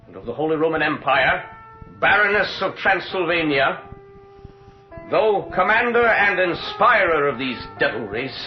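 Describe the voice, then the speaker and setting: An actor playing a stern high court president, solemnly declaiming sentences in a formal radio drama style. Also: male voice. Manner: solemn, declamatory, measured phrasing. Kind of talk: 1980s radio drama acting, formal proclamation. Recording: echoey, dull old radio recording, music behind.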